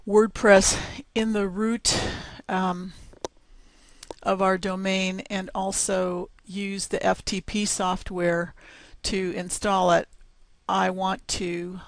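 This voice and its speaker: Slow, gravelly-voiced woman teaching software. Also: medium pitch. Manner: slow, step-by-step explaining with fillers. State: losing her voice, scratchy. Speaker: tutorial presenter. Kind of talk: screencast software tutorial.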